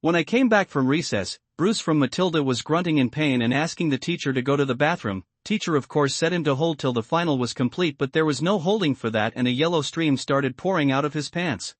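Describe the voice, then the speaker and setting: Higher-voiced man reading a story aloud. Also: expressive, a little fast, hardly any pauses, dry recording. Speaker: narrator reading an online post. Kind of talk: scripted story narration.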